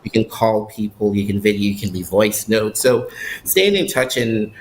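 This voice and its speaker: A Barbadian man living overseas chats in an interview, pausing often with fillers and restarting his sentences. His voice sits a little low. Faint ambient noise hums behind him. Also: rough recording. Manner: hesitant, many fillers and restarts. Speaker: guest living abroad. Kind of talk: casual interview chat.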